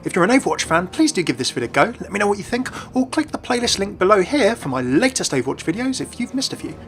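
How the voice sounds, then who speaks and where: A man's voice: sits high, very animated, fast talker, a bit bright, a little hiss or hum. A man narrating a scripted gaming explainer in a chatty way with wry asides. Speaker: video narrator and game commentator. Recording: voice-over with light background music. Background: background music.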